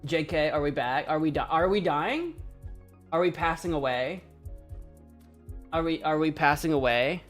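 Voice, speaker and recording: A woman streaming a game, chatting casually, cracking jokes, laughing often and thinking out loud. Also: low-pitched, animated, moderate pace, pauses often, faint music behind. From United States. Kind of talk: casual live-stream commentary.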